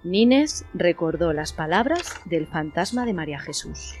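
Lower-voiced woman narrator. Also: lively intonation, measured pace, darkish tone, soft background music. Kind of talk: scripted radio play narration.

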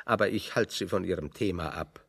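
An actor playing a big, pedantic physicist in a radio drama, speaking in ornate, deliberate, deadpan irony. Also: male voice, low-pitched, vocal fry, breathy edge, big-room sound, exaggerated voice. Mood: mock-earnest musing. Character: big, fat, pedantic physicist, drily ironic. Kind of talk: German radio drama dialogue.

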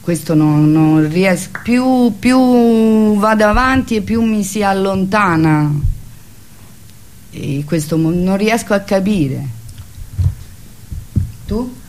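A man's voice: high voice, slow pace, long pauses. A man who is a theatre guest on a radio show, chatting casually and informally. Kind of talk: casual chat.

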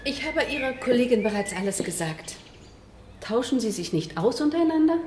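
Casting: cool, unwilling witness, high lively voice, radio drama in a roomy acoustic. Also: female voice, fairly quick, a little hiss or hum. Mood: guarded. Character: cool, reluctant woman being questioned by police. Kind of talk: German radio drama acting. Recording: echoing room with faint ambience.

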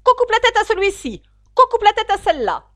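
A woman voicing a tyrannical queen who shouts her orders in a shrill, very loud voice, for a storybook reading. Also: big pitch swings, brisk, no room sound, clean studio sound. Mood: furious.

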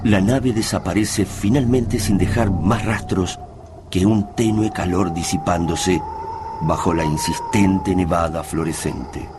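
Deep, grave radio drama narrator. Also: male voice, fairly even intonation, measured pace, background noise. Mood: grave, ominous. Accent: Argentine. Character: grave male narrator of a science fiction war tale. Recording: loud music under the voice.

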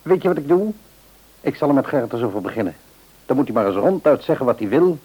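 An older father in a radio drama, husky and mid-pitched with expressive intonation. He comes across as kindly and practical, musing and reassuring. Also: fast, low-quality recording. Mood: reassuring, reasonable. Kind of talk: radio play acting.

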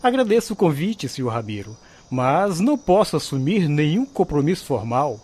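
A polite reluctant volunteer in a radio play, highish animated voice, formal. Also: male voice, moderate pace, slightly rough. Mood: polite refusal. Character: polite, firmly reluctant busy male volunteer. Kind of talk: radio drama acting.

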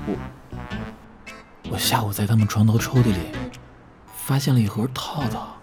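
An actor playing a cheeky, sharp-tongued young idol singer in an audio drama, with a teasing, colloquial manner. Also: male voice, lowish voice, moderate pace, over music, natural voice. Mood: casual gossip. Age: young adult.

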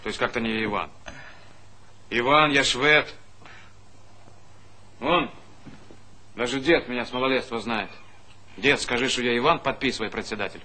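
A man's voice: mid-range voice, normal speed, long pauses, bright, forceful tone. An actor playing a stubborn, simple village man with a blunt, indignant manner in a Soviet stage comedy.

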